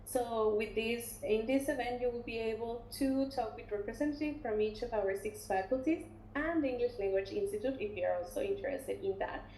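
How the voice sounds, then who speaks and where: A woman working as an international recruitment officer, presenting a webinar and taking questions in a relaxed, chatty way with many fillers and restarts. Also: on the high side, brisk, hardly any pauses. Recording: roomy webinar audio, faint noise.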